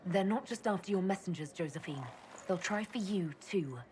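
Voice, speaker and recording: A woman playing a composed, decisive heroine leader in a video game, speaking plainly, calmly and supportively. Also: lowish voice, normal speed, a little hiss or hum, natural voice. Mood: direct, composed. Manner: plain, direct, calm. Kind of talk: dramatic video game cutscene acting.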